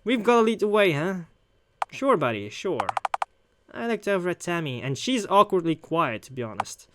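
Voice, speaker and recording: A man's voice: sits high, big pitch swings, normal speed, background noise. A man doing casual let's play commentary with wry asides, reading along and reacting as he goes. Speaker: gaming video host.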